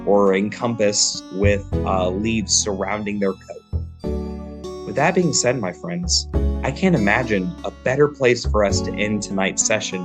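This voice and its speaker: A man narrating a tabletop game as game master, describing the scene at a steady pace. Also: fairly low pitch, a little slow. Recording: guitar music playing behind.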